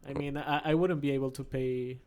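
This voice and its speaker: A man's voice: medium pitch, steady tone, a little fast, darkish tone, clean tone. A man from Peru with a Peruvian Spanish accent, chatting casually as an interview guest and searching for his words in English. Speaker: podcast guest, travelling artist.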